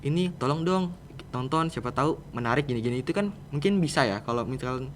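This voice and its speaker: A man's voice: medium pitch, lively intonation, fast talker. A teenage male student with a Jakarta Indonesian accent, answering interview questions in an informal, roundabout, conversational way. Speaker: student guest, podcast project leader. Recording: clear background noise, room ambience.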